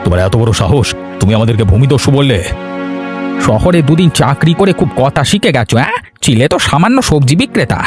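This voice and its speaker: A man's voice: mid-range voice, big pitch swings, quick pace, close to an everyday voice. A man playing a spiteful, threatening village henchman in a cartoon, with a sneering, aggressive manner. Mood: scornful. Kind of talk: Bengali cartoon voice acting. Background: music.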